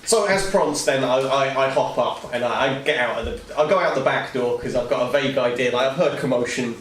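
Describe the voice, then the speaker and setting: A man's voice: mid-range voice, a little fast. A man chatting casually as a player in a tabletop game, describing what his character does. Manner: informal, narrates his character's actions. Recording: echoey, slightly distant mic, background noise.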